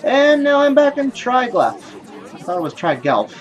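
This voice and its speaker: A man's voice: very high voice, huge pitch swings, normal speed, lo-fi sound, a faint crowd. A man hosting a casual let's play, thinking aloud in a rambling, self-deprecating way. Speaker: gaming video host. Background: game music and sounds.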